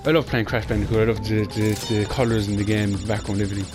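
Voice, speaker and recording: Young irish man, lowish voice, quick rambling chat, game music behind. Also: flat delivery. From Ireland. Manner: fast, rambling, chatty with Irish phrasing like "class". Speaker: gaming channel host. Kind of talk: casual gameplay commentary.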